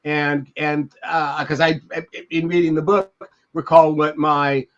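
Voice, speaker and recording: An older American man on a podcast, telling anecdotes and reading from a memoir in a halting, reflective way. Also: a little high, little pitch movement. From Beverly Hills, California. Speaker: podcast co-host. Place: indoors at home, on a video call. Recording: dull lo-fi video call audio.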